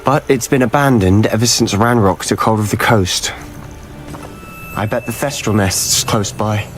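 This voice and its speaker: A man's voice: lowish voice, measured pace, faint music behind, close to an everyday voice. A young man playing an impatient, brooding teenage schoolboy wizard in a video game. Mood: urgent, wary.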